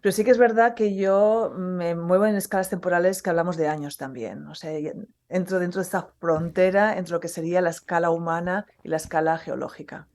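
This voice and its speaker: Slightly low-pitched woman explaining. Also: fairly even intonation, a little fast, darkish tone. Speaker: podcast guest, geology professor. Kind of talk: conversational explanation.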